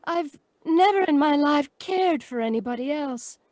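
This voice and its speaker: A woman's voice: high-pitched, lively intonation, unhurried, darkish tone, dry recording, clean studio sound. A woman playing an earnest, lovestruck and remorseful young woman in an old-time radio melodrama. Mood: earnest, resolute.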